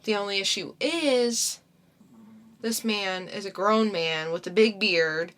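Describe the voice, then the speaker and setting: Slow-talking bright-voiced young woman. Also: medium pitch. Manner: laid-back storyteller recapping a plot. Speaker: podcast co-host. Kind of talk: casual podcast chat.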